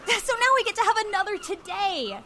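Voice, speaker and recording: High-voiced, sunny young woman. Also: animated, a little fast, bright, forceful tone, a little hiss or hum, heavily acted. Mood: cheerful, oblivious. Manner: breezy, earnest, enthusiastic. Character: Ellie, sunny, oblivious young theater crew member. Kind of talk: audio drama acting.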